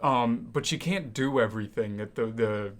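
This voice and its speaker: A young man with an American accent talks casually as a podcast co-host. His pitch sits in the middle for a man, and his delivery is informal and conversational. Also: moderate pace. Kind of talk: casual chat.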